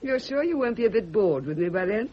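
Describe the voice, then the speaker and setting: A refined middle-aged spinster in a radio play, husky and lively in pitch, speaking with genteel, intense earnestness. The vintage recording sounds dull and boxed in. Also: female voice, fast. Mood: polite, restrained. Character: refined, devoted unmarried woman, cultured and intense. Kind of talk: radio drama acting.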